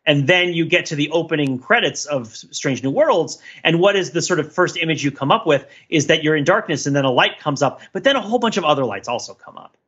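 Male podcast co-host, bright and husky, casual but intellectual and discursive, lo-fi recording. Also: a little high, expressive, fast talker. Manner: discursive, hedging, intellectual way of talking. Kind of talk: casual analytical discussion of a TV show.

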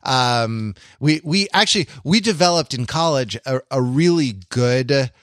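A man co-hosting a podcast in casual conversation, speaking haltingly and often repeating his phrases as he goes. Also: medium pitch, expressive, a bit bright, no echo, studio quality. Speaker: podcast co-host.

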